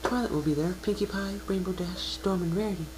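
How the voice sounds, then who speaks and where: A woman voicing a shy, gentle young female pegasus pony, hesitant, polite and caring, in a fan dramatic reading. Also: lowish voice, fairly quick, lightly acted. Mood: gentle, friendly. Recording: noisy home recording with mains hum.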